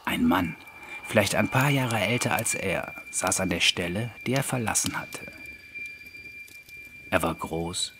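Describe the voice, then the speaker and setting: A man's voice: sits low, animated, unhurried, long pauses, background noise. A man reading an eerie literary story as an audiobook narrator, in scripted prose.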